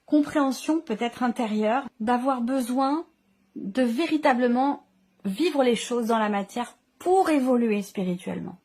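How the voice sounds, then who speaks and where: A woman's voice: on the high side, darkish tone. A woman giving an unscripted intuitive tarot reading, reflective and explanatory, often rephrasing her points. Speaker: tarot reader, channel host.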